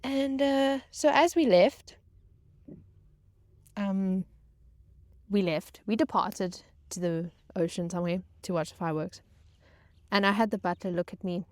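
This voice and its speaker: A woman's voice: medium pitch, measured pace, pauses often, a little mellow, no room sound. A woman telling a casual comedic personal story to viewers in a dramatic, self-mocking way, repeating phrases for emphasis. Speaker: storytelling vlogger and former yacht crew member.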